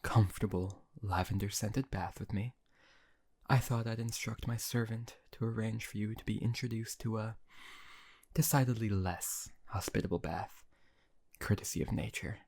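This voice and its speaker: A man's voice: fairly low pitch, normal speed, darkish tone. A man playing a possessive, cruel yet doting male emperor in an audio roleplay, speaking in a silky, condescending way that often drops to a whisper. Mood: gloating.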